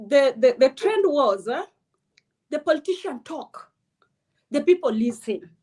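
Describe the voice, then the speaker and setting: A woman with a high, bright voice speaks as an interview guest. She is blunt and emphatic, driving her political points home without softening them. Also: animated, unhurried. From Kenya.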